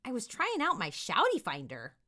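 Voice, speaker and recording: A woman voicing an excited, curious seven-year-old fox boy in a playful way, full of wide-eyed kid energy. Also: on the high side, moderate pace, exaggerated voice.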